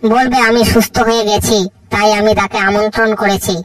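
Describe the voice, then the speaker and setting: A man's voice: sits high, little pitch movement, a little fast, slightly rough, no echo, distant mic. A man voicing a bossy, scheming crocodile wife. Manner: sharp-tongued and nagging. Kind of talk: cartoon voice acting.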